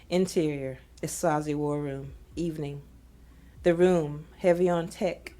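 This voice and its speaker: A woman reading scripted audio drama narration in a measured, descriptive manner. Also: deep voice, warm tone.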